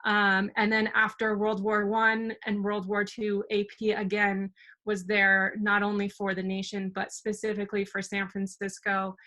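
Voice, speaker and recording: A woman's voice: mid-range voice, monotone, measured pace, pushed and bright. A young woman, a documentary filmmaker, telling a historical story at an online community meeting over a video call, earnest and full of fillers.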